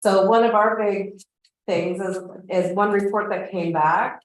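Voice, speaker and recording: A woman's voice: mid-range voice, fairly even intonation, unhurried. A woman speaking informally as a council member, putting questions to officials at a meeting. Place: meeting room heard over a video meeting. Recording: very echoey meeting room.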